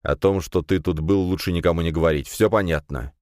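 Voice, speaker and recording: A male narrator plays a menacing middle-aged lord of a powerful family. The voice is low, curt and commanding, with cold threat, recorded dry for an audiobook. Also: fairly even intonation, a little fast, a little mellow, no room sound, close to an everyday voice. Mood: brusque. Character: stern, menacing middle-aged male head of a noble clan.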